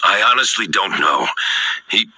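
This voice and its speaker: A man playing a grieving middle-aged father in a video game, speaking in a halting, solemn, remorseful way. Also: low voice, lively intonation, low-quality recording. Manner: halting, solemn, weighted phrasing. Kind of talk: dramatic video game voice acting. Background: background music.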